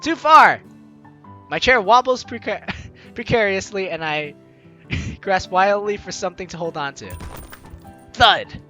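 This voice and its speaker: A man's voice: high-pitched, expressive, unhurried, pauses often, pushed and bright, muffled recording, soft background music. A man hosting a gaming video, playfully mixing jokey commentary with theatrical read-aloud narration.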